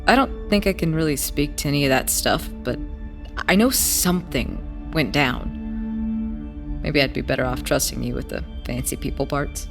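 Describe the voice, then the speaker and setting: A woman's voice: low-pitched, normal speed, vocal fry, over music. A woman playing a weary, wry runaway telling their story in an audio drama, casual and hesitant. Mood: reflective, wry. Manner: casual, hesitant, plain-spoken.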